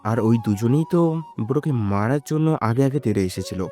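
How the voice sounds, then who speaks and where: An actor playing a loyal, earnest younger brother in an audio story. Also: male voice, mid-range voice, lively intonation, normal speed, dark tone, soft background music, close to an everyday voice. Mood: defensive.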